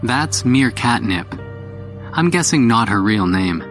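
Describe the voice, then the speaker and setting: An actor playing an investigative podcast host, narrating a mystery audio drama in a measured way with deliberate pauses. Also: male voice, medium pitch, animated, a bit bright. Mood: matter-of-fact. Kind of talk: scripted audio drama narration, documentary style. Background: music.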